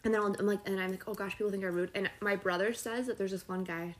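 A young woman hosting a podcast, chatting casually and telling stories with animated, giggly energy. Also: mid-range voice, fast. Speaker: podcast host. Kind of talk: casual chat.

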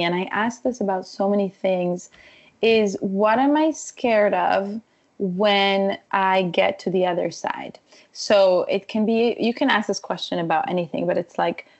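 A woman's voice: medium pitch, dull audio. A woman from Israel, a wellness coach, speaking as a podcast guest and telling stories animatedly. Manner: animated storytelling, frequent laughs. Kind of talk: conversational interview.

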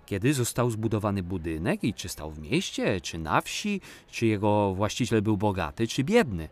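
Friendly male guide for a children's audio play, instructive, speaks straight to young listeners. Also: on the low side. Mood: explaining. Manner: didactic, addressing children directly. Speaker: storyteller guide.

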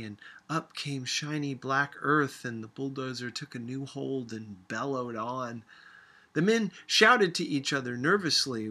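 A man reading a literary story aloud as an audiobook narrator, expressive and dramatic in his delivery. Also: mid-range voice, husky. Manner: dramatic, acts out dialogue. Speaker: audiobook reader.

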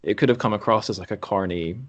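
A man's voice: fairly low pitch, quick pace, highs cut off, noisy background. A man hosting a podcast, speaking in conversation or reading aloud. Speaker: podcast host.

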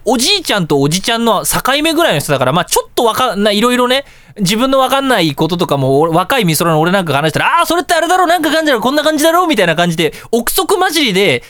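A man hosting a radio show, telling everyday anecdotes in a casual, excitable way and acting out little exchanges. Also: high voice, racing, few pauses, no room sound. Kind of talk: casual storytelling radio talk.

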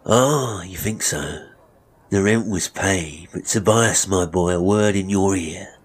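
A man's voice: deep voice, takes it slowly, husky, heavily acted. A man voicing a menacing, mocking murderous barber in a Victorian melodrama story. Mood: threatening. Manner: mocking, threatening, chuckling, oily when polite. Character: menacing, sneering murderous male barber, gloating villain.

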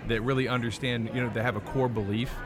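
Fast-talking middle-aged man in a crowd. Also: medium pitch, fairly even intonation. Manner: halting, repeats words. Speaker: podcast interviewer, former band director. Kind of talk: casual conversational interviewing. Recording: busy crowd chatter behind the voice.

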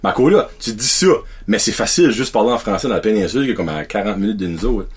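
Man, young adult, podcast host, husky, very animated. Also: on the low side, fast talker. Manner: animated, mixes English words into French. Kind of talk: casual podcast chat.

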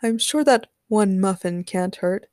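A woman voicing a sly male villain with mind control powers, coaxing in drawn-out words. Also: medium pitch, a little mellow, dry recording, clean studio sound, lightly acted.

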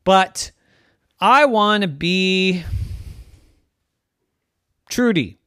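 A storyteller voicing a talking house cat who is fed up at never being understood, in a squeaky indignant voice. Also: lively intonation, drawn-out words, fairly bright tone, no echo, clean studio sound. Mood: aggrieved.

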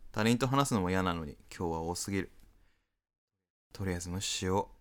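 Radio-drama lead for a male actor: antisocial, apathetic guy, very low register, dry inner monologue. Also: fairly quick, fairly bright tone, clean tone. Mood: deadpan, weary. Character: apathetic, antisocial male smoker narrating his reluctant encounters. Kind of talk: radio drama acting and narration.

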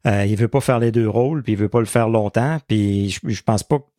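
A man's voice: on the low side, fairly quick, warm tone, no echo, studio quality. A man with a Quebec French accent, talking casually as a sports podcast co-host.

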